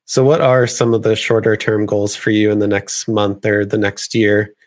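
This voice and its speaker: A man hosts an interview and asks long, casual questions that are full of fillers. His voice sits slightly low, and his tone stays relaxed and conversational. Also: moderate pace.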